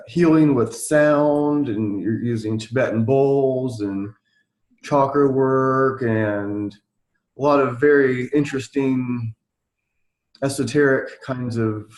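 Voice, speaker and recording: A man hosting a podcast interview, asking questions in a halting, casual way with lots of fillers. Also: mid-range voice, a little mellow, echoey room. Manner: halting, many fillers and restarts. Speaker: podcast host.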